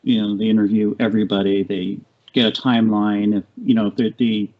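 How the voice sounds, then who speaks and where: A man in his late forties talking as a podcast guest, halting with lots of fillers and restarts. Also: lowish voice, level tone. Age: 40s. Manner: halting, many fillers and restarts, laughs along. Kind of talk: casual chat. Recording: dull lo-fi audio.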